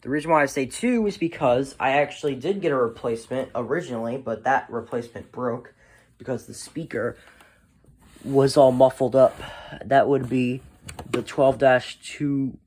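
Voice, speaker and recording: A man's voice: mid-range voice, normal speed, a little mellow. Casual rambling man showing off his collection. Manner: rambling, trailing off. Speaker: hobbyist collector presenting a video. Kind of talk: casual show-and-tell chat.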